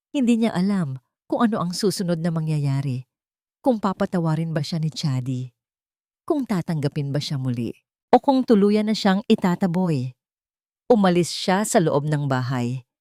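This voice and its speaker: A woman's voice: lowish voice, a little mellow, no room sound, studio quality. A woman with a Filipino accent narrating a scripted, dramatic romance story.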